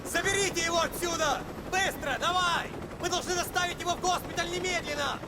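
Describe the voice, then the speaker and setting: An actor playing an urgent Russian soldier rescuing the wounded in a video game, with a Russian accent. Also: male voice, extremely high pitch, steady tone, fast talker, a loud crowd, heavy background noise. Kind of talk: scripted video game drama shouting.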